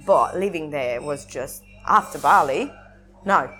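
A woman in her thirties whose first language is Russian talks as a podcast guest. Her accent is Russian with a northern English edge, and her voice sits a little low. She is candid and mocks herself, often restarting her sentences, and music plays clearly behind her. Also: animated, bright, forceful tone. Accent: Russian, with some northern English. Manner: candid, self-mocking, with halting restarts. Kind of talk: casual chat.

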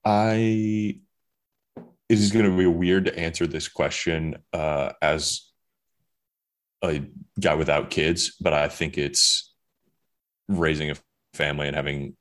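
A man's voice: extremely low pitch, steady tone, takes it slowly, pauses often, a bit bright. A young man talking casually as a podcast guest, rambling with many fillers and restarts. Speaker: guest author.

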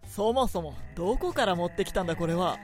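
A woman acting a gruff, reluctant, cool half-spirit man in a radio drama, speaking curtly and dryly. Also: on the low side, animated, fast talker, lightly acted. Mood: irritated. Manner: curt, dry, masculine phrasing. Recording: music behind the voice.

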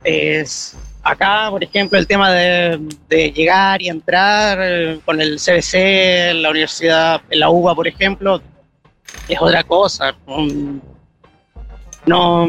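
Man from Argentina with years in Chile, gravelly creak, bright edge, hesitant casual answers, searching for words. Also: high voice. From born in Argentina, lived many years in Chile. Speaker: passer-by interviewee, photographer. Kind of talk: casual spontaneous interview answers. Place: street outdoors.

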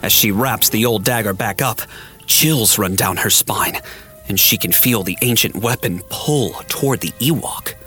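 A man's voice: lowish voice, unhurried, sharp tone, soft background music. A man narrating an audio drama in a scripted, dramatic storytelling style.

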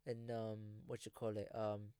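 A man's voice: on the low side, completely flat delivery, normal speed, warm tone, clean tone, no room sound. Slangy young man, dry sound. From England. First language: Filipino. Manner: slangy, swearing, stammering restarts. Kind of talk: casual chat.

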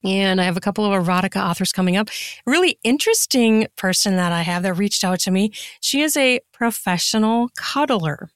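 A woman's voice: mid-range voice, expressive, brisk, clean tone, dry recording, clean studio sound. A woman chatting casually and emphatically as a guest in a podcast interview.

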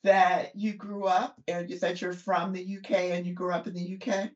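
A woman hosting a podcast interview, unhurried and chatty, with many fillers and frequent laughs. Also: lowish voice, level tone, lo-fi sound. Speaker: podcast host. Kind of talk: interview conversation.

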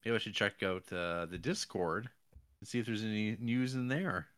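A man's voice: fairly low pitch, moderate pace, fairly bright tone, smooth. A man co-hosting a podcast in casual chat, with a dry, jokey, mock-formal way of talking. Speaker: podcast co-host.

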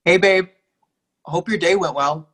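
An actor plays a thirty-ish man speaking his text messages aloud in a stage play reading. His voice sits a little high, with a casual, affectionate manner. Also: a little slow, a bit bright. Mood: affectionate, upbeat. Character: upbeat affectionate thirty-ish man's texting inner voice. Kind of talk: readers theatre dialogue.

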